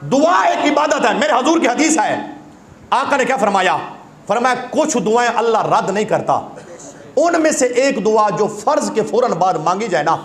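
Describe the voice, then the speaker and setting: A man's voice: very high-pitched, very animated, moderate pace. A male preacher with a sore throat, giving an impassioned sermon that breaks into recited verse. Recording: echoey hall.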